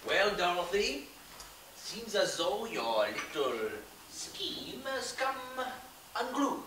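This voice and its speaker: A man's voice: high-pitched, big pitch swings, takes it slowly, bright, forceful tone, a little hiss or hum, heavily acted. A man playing a middle-aged con man who fakes a French accent and blackmails with oily menace, recorded far from the mic in an echoey room. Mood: menacing. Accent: fake French.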